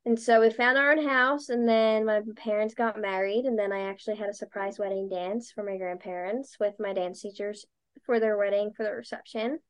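A young woman from Delaware County with a Delco accent, chatting loudly as an interview guest and filling her talk with "like.". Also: mid-range voice, fairly even intonation, brisk, clear, resonant tone. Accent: Delco (Philadelphia area). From Delaware County, Pennsylvania. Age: young adult. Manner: loud, talkative, lots of "like". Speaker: guest, dancer and podcaster. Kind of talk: casual interview chat.

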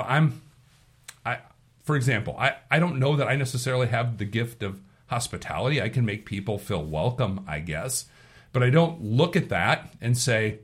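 A man leading a devotional sermon and prayer, his voice hoarse from allergies, talking earnestly and often repeating words as he gathers his thoughts. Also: mid-range voice. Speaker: pastor.

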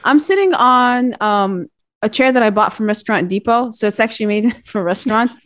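A woman's voice: fairly high pitch, animated, brisk, a bit bright. A woman co-hosting a casual podcast chat, mixing Arabic expressions into her English. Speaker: podcast co-host.

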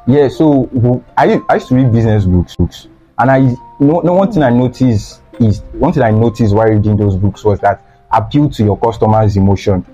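Young Nigerian man, earnest interview guest, dark mellow tone, slightly lo-fi, faint music behind. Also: medium pitch, fairly quick. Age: young adult. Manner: earnest, repeats phrases for emphasis. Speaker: guest, student sweet seller. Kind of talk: casual interview talk. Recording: slightly dull lo-fi sound.

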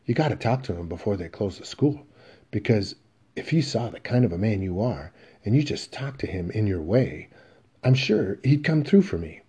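A man's voice: on the low side, big pitch swings, normal speed, dark tone, husky. A man voicing an earnest teenage athlete pleading with his father in a play reading. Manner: eager, pleading.

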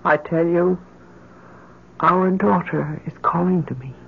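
A woman's voice: lowish voice, takes it slowly, gravelly, breathy edge, heavily acted. An American actress in an old-time radio drama playing a grieving, obsessed mother with an urgent, insistent manner. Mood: haunted, insistent. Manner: intense, urgent, commanding. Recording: muffled lo-fi vintage radio recording.

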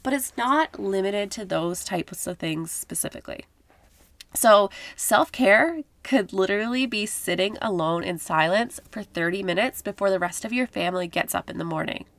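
A woman's voice: medium pitch, lively intonation, normal speed, dry recording. A woman in her thirties from Canada hosting a podcast, talking casually and encouragingly about self-care, a little nasal from a cold. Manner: warm encouraging self-help talk with filler likes. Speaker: podcast host.

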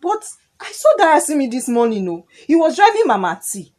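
A woman with a Nigerian accent playing a chatty, excitable neighbourhood gossip in a radio drama, with emphatic phrasing. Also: high-pitched, fairly quick. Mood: excited, gossipy.

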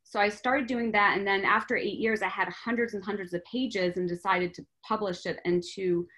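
A woman's voice: mid-range voice, steady tone, normal speed, a bit bright, low-quality recording. A middle-aged woman born in France, speaking as a guest on a podcast interview, earnest and chatty with frequent fillers. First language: French. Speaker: guest, language teacher and author.

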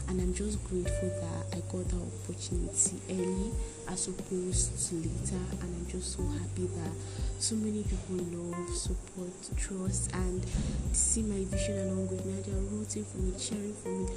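A young woman talks low and hushed on her podcast, slipping into whispers now and then. Her voice sits on the lower side, and clear music plays behind her. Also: rough recording. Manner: soft, hushed late-night talk, often whispering; earnest and grateful, with laughs. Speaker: podcast host. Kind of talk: casual solo podcast chat.

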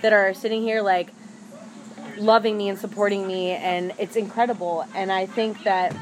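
A woman's voice: mid-range voice, fairly even intonation, moderate pace. A woman hosting a casual podcast chat with friends, talking earnestly and filling her speech with "like". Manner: earnest, heavy use of "like". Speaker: podcast host. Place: outdoor community venue. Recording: noisy background ambience.

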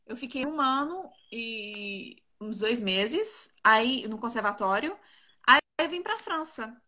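A woman's voice: on the high side, expressive, slow pace, sharp tone. A woman, chatting casually and a little giggly as an interview guest on a video call. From Brazil, partly raised in South Korea. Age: 20s. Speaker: interview guest, professional flautist.

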